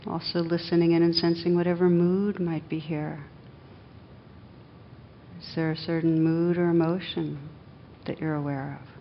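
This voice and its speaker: A woman meditation teacher leading a guided meditation in a gentle, unhurried manner with long pauses, on a dull recording. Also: level tone. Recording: dull, muffled sound with faint rumbling noise.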